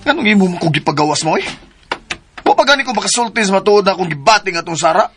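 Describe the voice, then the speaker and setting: Selfish elder brother: quick, bright, forceful radio-drama delivery, argumentative and insistent. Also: male voice, high voice, lively intonation, soft background music. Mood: indignant. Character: selfish, jealous, scheming older brother.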